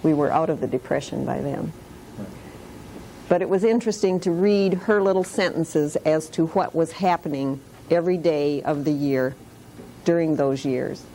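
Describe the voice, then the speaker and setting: A woman in her late sixties from Detroit, telling her life story in a classroom interview in a wry, unhurried way. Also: sits low, mellow, a little hiss or hum, rough recording. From Detroit, Michigan. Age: 60s. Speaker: interviewee sharing memories of the Depression. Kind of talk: oral history interview. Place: school classroom.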